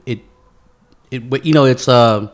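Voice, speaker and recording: A man's voice: mid-range voice, unhurried. A man co-hosting a podcast in casual banter, using slang and swearing, often repeating phrases for emphasis. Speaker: podcast co-host.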